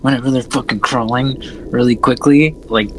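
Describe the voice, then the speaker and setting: A man's voice: medium pitch, steady tone, normal speed, slight background noise, poor audio. A man co-hosting a casual film discussion podcast, slangy, profane and self-deprecating. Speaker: podcast co-host recapping a film.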